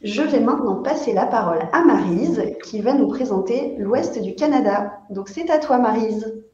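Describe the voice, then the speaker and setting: Woman running a travel webinar, dark mellow voice, lots of "euh", sounds a bit muffled and roomy on the call. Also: on the high side, lively intonation, normal speed. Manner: frequent "euh" fillers, promotional tone. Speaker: webinar host for a travel agency. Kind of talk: presenting a company webinar. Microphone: computer mic on a video call. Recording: echoey, muffled online call audio.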